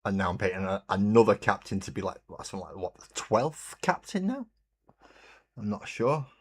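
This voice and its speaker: A man hosting a relaxed hobby livestream, chatting in a rambling way and greeting viewers while he paints. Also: on the low side, normal speed, husky. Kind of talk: casual chat while painting. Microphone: desk mic on a webcam stream.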